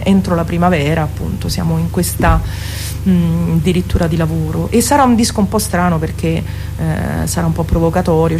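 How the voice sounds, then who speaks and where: Female radio guest, fairly low register, thinking aloud with hesitations and restarts, recorded amid clear ambient noise. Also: darkish tone. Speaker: singer in a band, interview guest. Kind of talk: reflective radio interview talk. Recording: clearly noisy background ambience.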